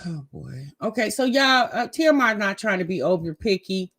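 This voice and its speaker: A woman's voice: medium pitch, sing-song, moderate pace, a bit bright. An American woman giving casual, gossipy reaction commentary in a sassy, conversational way. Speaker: host reacting to a family vlog.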